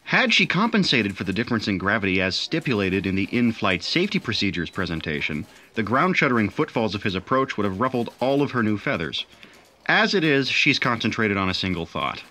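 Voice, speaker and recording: A man's voice: fairly low pitch, sing-song, a little fast, pushed and bright, heavily acted. A man playing a wry storyteller, delivering scripted narration for sci-fi audio theatre.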